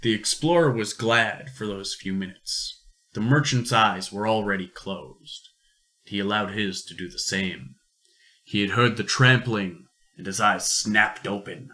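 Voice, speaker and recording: Bright-voiced man narrating science fiction. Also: lowish voice, a little slow. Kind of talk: scripted narration of sci-fi radio play.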